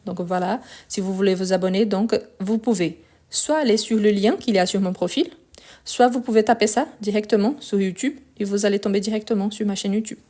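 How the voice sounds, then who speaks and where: A woman whose first language is Portuguese teaches French grammar on a live stream. Her voice is soft and mellow at a middling pitch. She explains patiently and often stops to check that her viewers are following. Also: steady tone, a little fast. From Brazil. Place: at home on a lunch break.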